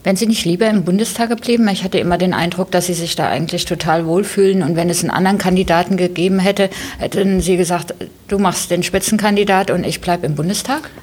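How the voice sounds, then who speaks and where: A woman with a slightly low voice leads a political interview. She puts her questions conversationally, staying polite while being direct and probing. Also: fast talker, a little mellow, husky.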